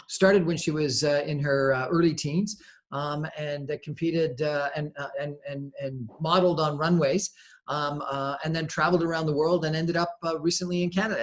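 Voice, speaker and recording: A man hosting a radio show, speaking in a conversational interview style with a halting, hesitant delivery. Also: on the high side.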